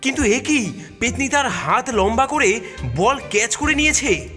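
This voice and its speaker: A man's voice: extremely high pitch, animated, unhurried, sharp tone, faint music behind. A man narrating a children's cartoon story in a dramatic storytelling manner.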